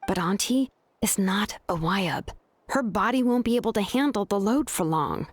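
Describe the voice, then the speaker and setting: An actress playing a composed, frank young craftswoman in a video game, with a measured, explanatory delivery. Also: mid-range voice, animated, no room sound, lightly acted. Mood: calm, serious.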